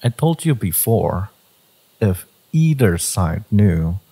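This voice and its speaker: A man voicing a brooding, devoted fantasy villain in a romance audio roleplay, speaking deliberately in a low, gravelly, husky voice. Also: very animated, mellow, exaggerated voice. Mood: protective, intense. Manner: slow, deliberate, with pauses and sighs. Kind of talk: dramatic second-person romance audio roleplay.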